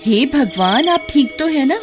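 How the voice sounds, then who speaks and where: A woman's voice: high-pitched, animated, unhurried, pitched above the performer's own voice. A woman playing a fretful, questioning village wife in a cartoon story. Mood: anxious. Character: worried, scolding village wife of a woodcutter. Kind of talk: Hindi cartoon story dialogue. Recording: music behind the voice.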